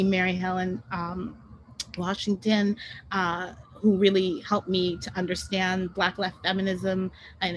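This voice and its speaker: A woman's voice: medium pitch, a little hiss or hum, rough recording. A woman whose first language is French, speaking as a guest on an online panel webinar in a conversational way with many ums and self-corrections, over a video call.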